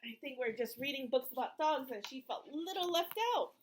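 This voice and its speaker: A woman's voice: high-pitched, brisk, slight background noise. A woman reading a picture book aloud to young children in an encouraging, sing-song teacher's manner, asking questions and drawing out short phrases.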